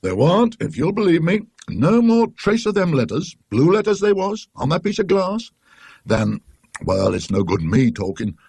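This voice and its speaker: A man acts out a working-class British tram conductor in a storybook ghost tale. He is talkative and indignant yet deferential, rambling emphatically in a high-lifted, husky voice that jumps about. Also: sing-song, normal speed, darkish tone, no echo, full character voice. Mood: baffled, emphatic.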